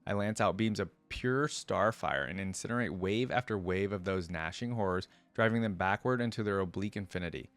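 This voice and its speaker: A man reading a story aloud and breaking into casual, expressive, slangy commentary as a reaction host. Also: low voice, brisk, hardly any pauses.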